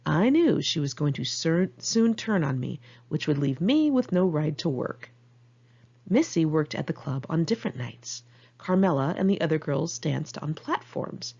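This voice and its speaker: A woman with an American accent narrates her memoir in a lowish voice with a husky rasp. She is candid and wry, telling it informally like an amateur audiobook. The recording is a little muffled. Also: expressive, moderate pace, darkish tone. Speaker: author reading own memoir.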